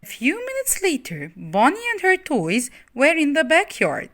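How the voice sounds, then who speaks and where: A woman's voice: high voice, wildly swooping pitch, moderate pace, a bit bright. A woman storyteller hosting a children's read-aloud, speaking expressively and straight to young listeners.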